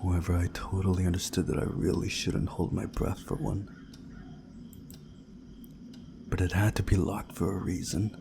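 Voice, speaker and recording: A man reading a visual novel story aloud in a measured, dramatic storytelling way, hushed at times. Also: low-pitched, flat delivery, gravelly, noisy background. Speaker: video game playthrough narrator.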